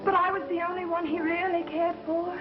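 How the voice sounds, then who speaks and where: An actress playing a bold, quick-witted young woman sleuth in a theatrical 1930s film style, wry and given to melodrama. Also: very high voice, little pitch movement. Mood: coy, mock-innocent. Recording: dull 1930s film soundtrack with music.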